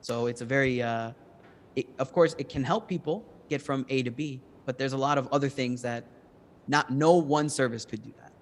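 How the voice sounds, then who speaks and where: A man's voice: medium pitch, normal speed. A man answering judges' questions as a start-up presenter, speaking in an explanatory, assured manner. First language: English. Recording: long hall reverb.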